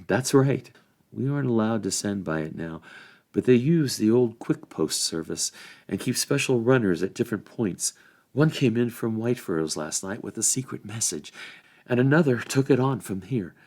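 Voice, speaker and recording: A man's voice: mid-range voice, creaky, darkish tone. A man voicing a reluctant small hobbit lawman with rustic phrasing and a pleading, confiding manner in a storybook reading.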